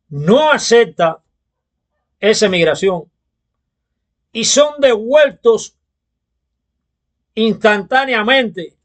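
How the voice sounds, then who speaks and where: A Cuban man with a high-pitched voice speaks as a political commentator. He delivers his opinion monologue slowly and deliberately, stressing his points with emphasis. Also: fairly bright tone. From Cuba.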